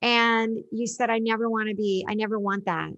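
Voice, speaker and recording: A woman's voice: a little high, little pitch movement, moderate pace, a bit bright, muffled recording. A woman interviewing a guest, reflecting the guest's words back to her. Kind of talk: interview conversation.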